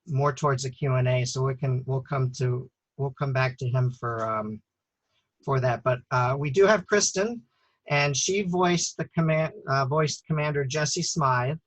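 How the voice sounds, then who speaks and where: A man hosts a casual online premiere, stopping and restarting his sentences often. His voice sits at a middle pitch and sounds close and dry, with no room around it. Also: no echo, poor audio. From United States. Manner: halting, many fillers and restarts. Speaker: author and host.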